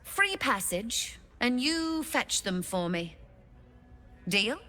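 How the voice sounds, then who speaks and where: A woman's voice: fairly high pitch, sing-song, slow pace, pushed and bright, soft background music, exaggerated voice. A woman playing a shrewd, brusque, mercenary occult dealer in a video game, businesslike and commanding. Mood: shrewd, bargaining.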